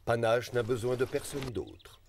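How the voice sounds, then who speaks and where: A man's voice: mid-range voice, measured pace, a little hiss or hum, close to an everyday voice. A man voicing a dismissive animal onlooker in a French cartoon dub.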